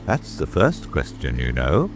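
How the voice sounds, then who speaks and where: Very deep, slow frog footman. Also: huge pitch swings, music in the background, full character voice. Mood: stolid, unbothered. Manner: slow, drawn-out, solemn delivery. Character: dim, stolid frog footman, solemn and unhelpful. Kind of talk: dramatic audiobook reading.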